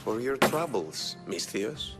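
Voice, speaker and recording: An actor playing a suave, smug schemer of ancient Greece in a video game, measured and quietly menacing. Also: male voice, lowish voice, expressive. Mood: smug, calculating. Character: sly, smug wealthy schemer in ancient Greece, hiring a mercenary. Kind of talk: cinematic video game acting. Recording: background music under dialogue.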